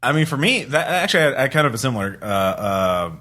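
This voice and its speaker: A man's voice: mid-range voice, very animated, quick pace, pushed and bright. A man hosting a casual podcast chat, rambling and often rephrasing himself as he goes. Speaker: podcast host.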